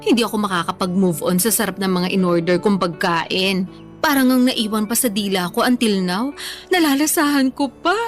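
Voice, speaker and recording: A woman's voice: mid-range voice, moderate pace, faint music behind, close to an everyday voice. A woman playing a playful, stubborn, food-loving woman in her thirties, bantering casually in a radio drama. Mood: enthusiastic, defensive. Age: 30s.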